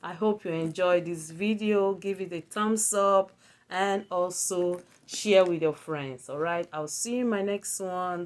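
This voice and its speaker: A woman with a Nigerian accent gives a casual product review as a video blogger, often repeating words for emphasis. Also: medium pitch.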